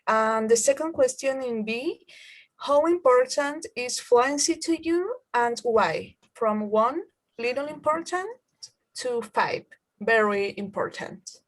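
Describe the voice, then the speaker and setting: A young woman leading a class discussion, reading out the questions in a measured way. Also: on the high side, lively intonation, rough recording.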